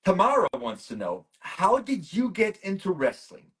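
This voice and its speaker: Man, high voice, very lively pitch, interview host reading questions. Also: moderate pace. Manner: reads fan questions, enthusiastic reactions. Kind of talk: casual interview.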